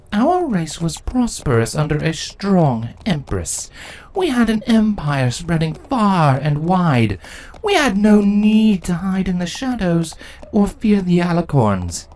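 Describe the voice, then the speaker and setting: A ruthless insect queen from a fantasy audio story, performed by a man. The voice is lifted well above a natural male register and swings widely in pitch. The delivery is haughty, deliberate and grandly self-justifying. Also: a little mellow, full character voice. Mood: imperious, grim. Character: ruthless, imperious female changeling insect queen.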